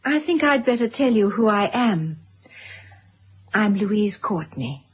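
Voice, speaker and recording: An actress playing a frank, apologetic middle-aged mistress in a radio drama, articulate and husky. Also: female voice, medium pitch, expressive, slow, a little hiss or hum. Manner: articulate, frank, self-deprecating. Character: candid, apologetic mistress confessing to her lover's wife. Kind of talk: 1970s radio drama acting. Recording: dull lo-fi vintage radio recording.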